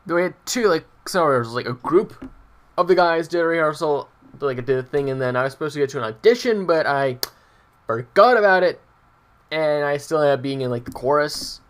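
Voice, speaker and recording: A man's voice: fairly high pitch, lively intonation, a little fast. A young adult man telling personal stories casually as a vlogger, hesitant and meandering, often breaking off mid-sentence. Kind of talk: casual rambling personal storytelling.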